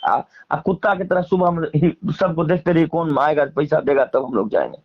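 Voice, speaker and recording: A man's voice: a little high, normal speed, breathy edge, lo-fi sound. A man with a Bihari accent speaking casually as an interviewee, emphatically airing grievances in colloquial, repetitive phrasing. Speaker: interviewee, singer and stage performer.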